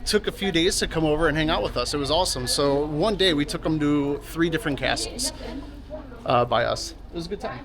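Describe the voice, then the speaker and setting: A man's voice: fairly high pitch, expressive, brisk, crowd noise. A man talking casually and plainly as a travel vlogger, chatting off the cuff outdoors. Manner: laid-back, unscripted, plain-spoken. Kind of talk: casual vlog narration and chat. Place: outdoors in old town streets.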